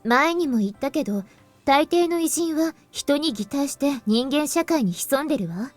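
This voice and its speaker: High, lively, teasing big-sister. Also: female voice, quick pace, faint music behind. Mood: explanatory. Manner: feminine, playful speech. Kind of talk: Japanese visual novel voice acting.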